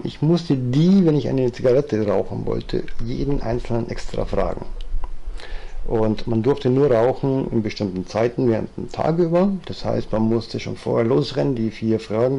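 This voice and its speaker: A man in his fifties with a strong Bavarian accent, chatting from an armchair into a distant webcam in a low-quality home recording. His voice is gravelly and dark, a little husky, and he rambles from one thought to the next with plenty of fillers. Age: 50s. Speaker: vlogger. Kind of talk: casual storytelling monologue, reading aloud. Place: living room, seated in armchair.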